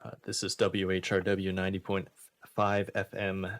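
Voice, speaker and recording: A man's voice: deep voice, completely flat delivery, normal speed, no room sound. A man hosting a radio show with casual comedy banter. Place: small radio studio.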